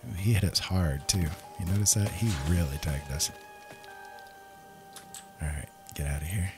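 Low-voiced man musing through gameplay. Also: normal speed. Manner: easygoing, musing, talks to himself. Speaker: gameplay host. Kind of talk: casual gameplay commentary. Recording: loud game music behind. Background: game music and sounds.

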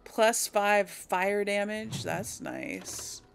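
A woman's voice: medium pitch, huge pitch swings, extremely slow, creaky, noisy background. A woman giving casual video game commentary, thinking aloud as she plays. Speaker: video game let's player.

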